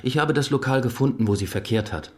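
A man's voice: mid-range voice, fast, close to an everyday voice. An actor playing a blunt male police colleague reporting findings in a radio drama. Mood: matter-of-fact.

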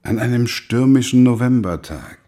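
A man's voice: medium pitch, lively intonation, moderate pace, mellow, lightly acted. A man acting as a sardonic older drinker in a German radio drama, needling and theatrical in his delivery. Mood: sardonic, probing. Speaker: actor.

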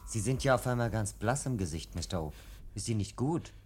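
An actor playing a down-to-earth, teasing police sergeant in a 1950s radio play, jovial and blunt. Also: male voice, fairly low pitch, lively intonation, a little fast, lightly acted. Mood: amused. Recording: noisy vintage 1950s radio recording.

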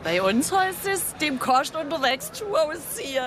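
Eine Schauspielerin spielt in einem Hörspiel eine redselige Frau, die gern belehrend erklärt. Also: female voice, high-pitched, very animated, normal speed. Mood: teasing. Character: talkative, instructive woman explaining the city. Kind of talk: radio play dialogue. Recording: noisy outdoor street ambience.

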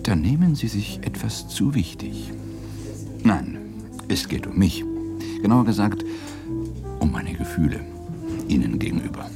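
A troubled, gruff police detective in middle age in a radio drama. His voice is very low and gravelly, with lively swings in pitch, and he speaks candidly, like someone worn down. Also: measured pace, pauses often, loud music behind the voice, full character voice. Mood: weary, confiding. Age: middle-aged. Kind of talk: German radio drama acting.

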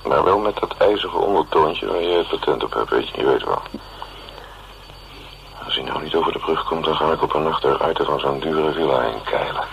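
An actor playing a gruff, sardonic male investigator who dictates notes to his secretary in a wry, grumbling way, in a radio drama. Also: brisk. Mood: brisk, wry. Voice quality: very deep, gravelly. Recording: slightly noisy radio drama recording.

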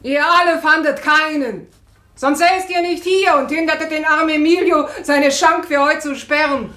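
High-voiced, sharp-tongued tavern woman. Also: steady tone, moderate pace. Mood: reproachful. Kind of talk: 1960s German radio drama acting. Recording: echoey, faintly noisy old radio recording.

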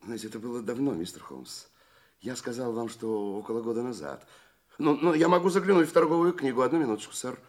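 An actor playing an obsequious, easily flustered shopkeeper in a Russian radio drama, hesitant and nervously repeating himself. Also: male voice, medium pitch, fast, a little mellow, lightly acted. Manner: servile, repetitive, hesitant, nervous. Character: flustered, obsequious, indignant male London shopkeeper. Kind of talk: Russian radio play acting.

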